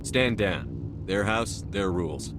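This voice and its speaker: A male military commander in a video game. His voice is fairly low, steady and direct, with faint ambience behind it. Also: slow, background noise. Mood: calm, firm. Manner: firm, direct.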